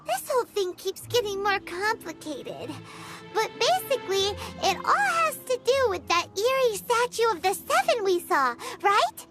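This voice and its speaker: A woman voicing a tiny, excitable, childlike female fairy companion in a video game, squeaky and full of curious exclamations. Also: unhurried, heavily acted. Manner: exclamatory, inquisitive, refers to self in third person. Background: game music.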